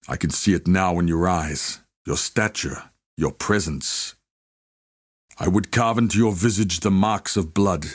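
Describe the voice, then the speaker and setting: A low-voiced, proud warrior of a tribe for a video game. He is solemn and devout, bound by honour. Every phrase is delivered gravely and deliberately, like formal oratory. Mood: solemn reverence. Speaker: video game character voice actor. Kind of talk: scripted dramatic game dialogue.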